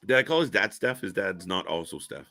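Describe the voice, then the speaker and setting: A man's voice: deep voice, very animated, fairly quick, gravelly, pushed and bright. A man from Canada co-hosting a live stream, joking and teasing his co-host in casual back-and-forth banter.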